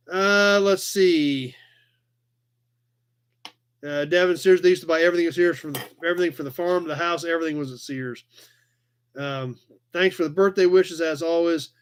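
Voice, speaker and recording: American male livestream host, forties, slightly high voice, easygoing rambling chat delivery. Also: clear, resonant tone. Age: 40s. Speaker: live chat show host. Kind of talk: casual rambling livestream chat, reading and answering viewer comments.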